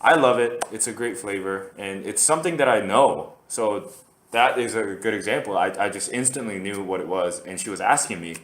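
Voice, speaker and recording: A man's voice: on the low side, moderate pace, a bit bright, echoey room, slight background noise. A man from Korea, hosting a casual solo podcast and rambling with lots of fillers and restarts. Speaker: podcast host.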